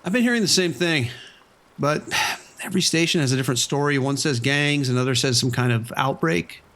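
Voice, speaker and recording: A man playing an easygoing, watchful casino boss in an audio drama, with a casual, measured way of talking. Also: medium pitch, fairly bright tone, lightly acted. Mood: mildly uneasy. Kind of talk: scripted horror audio drama radio exchange.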